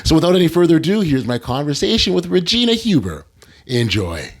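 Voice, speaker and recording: A man hosting a podcast, asking questions in a halting way with many restarts. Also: a little high, big pitch swings, dry recording. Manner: halting, lots of restarts and fillers. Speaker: podcast host. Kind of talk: interview hosting.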